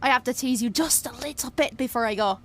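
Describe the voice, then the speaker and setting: A young woman, played by an actress, tells her story in an audio drama. Her voice sits fairly high, and she is wry and confiding, swearing freely while sounding wistful. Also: fast, fairly bright tone, a little hiss or hum, close to an everyday voice. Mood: fond, reflective. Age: young adult. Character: Billie, brash, wistful young Scottish woman obsessed with fairies. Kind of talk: audio drama narration and dialogue.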